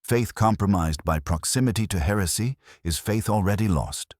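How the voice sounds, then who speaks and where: A man's voice: lowish voice, normal speed, a little mellow, no room sound, studio quality, voice pushed up, close to an everyday voice. A man voicing a stern, pitiless female warrior-zealot commander, giving cold, doctrinal commands in a scripted story.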